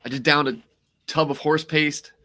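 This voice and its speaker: A man co-hosting a livestream in casual, joking chat, his voice a little hoarse, rambling upbeat talk. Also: fairly high pitch, fairly even intonation, moderate pace, noisy background. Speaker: co-host.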